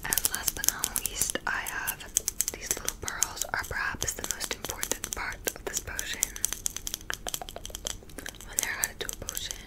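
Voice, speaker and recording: A young woman whispering breathily in an ASMR roleplay, gently teaching as a witch in an unhurried way. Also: rough recording. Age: young adult.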